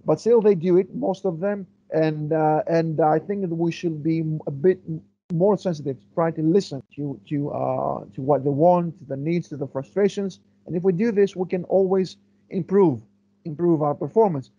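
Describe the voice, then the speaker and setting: A man's voice: a little high, normal speed, warm tone, breathy edge, lo-fi sound. A man from Greece, a historian answering interview questions thoughtfully, with many fillers and restarts as he searches for words. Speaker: guest historian.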